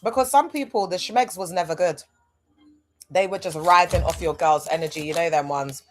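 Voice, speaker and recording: A woman hosting a livestream, talking in a casual, animated way, full of rhetorical asides. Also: on the low side, normal speed, soft background music. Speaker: livestream host.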